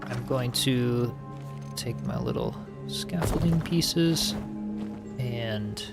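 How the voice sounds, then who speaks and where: A man's voice: medium pitch, drawn-out words, gravelly, over loud music. A man streaming a building game, chatting casually with his viewers and reading chat aloud, rambling with lots of filler words. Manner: relaxed, rambling, reads chat aloud, frequent likes and you-knows.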